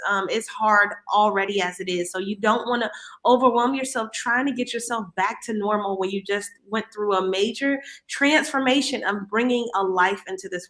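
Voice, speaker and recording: A woman, a guest speaking in a quiet, gentle, candid way. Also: medium pitch. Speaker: guest, day founder. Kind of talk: casual interview talk.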